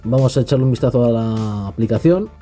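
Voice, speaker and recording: A man's voice: mid-range voice, quick pace, warm tone, soft background music. A man with a Castilian accent from Spain giving a casual, unscripted app review, often tagging phrases with little questioning checks. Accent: Castilian Spanish from Spain. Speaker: tech reviewer.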